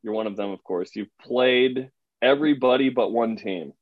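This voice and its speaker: A man interviewing a coach for a sports radio show, with playful, lively questions. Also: medium pitch, normal speed, no echo, narrow, tinny audio.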